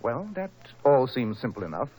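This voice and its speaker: A man's voice: medium pitch, big pitch swings, moderate pace, a little mellow, slightly rough. A man playing a shrewd, self-assured detective with precise, authoritative diction, in a 1940s radio mystery style. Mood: brisk, probing. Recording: noisy old 1940s radio recording.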